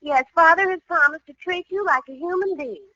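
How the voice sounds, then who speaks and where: An actress playing a sweet, devoted young fiancée with an American accent in 1940s radio comedy. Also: female voice, very high voice, brisk, sharp tone, dry recording. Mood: affectionate. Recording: dull old radio recording.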